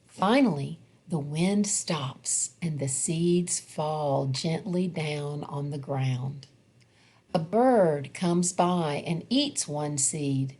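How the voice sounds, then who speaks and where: Lady reading a kids picture book out loud, really slow and deliberate, slightly low voice, expressive storytelling. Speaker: storybook reader. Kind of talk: children's picture book read-aloud.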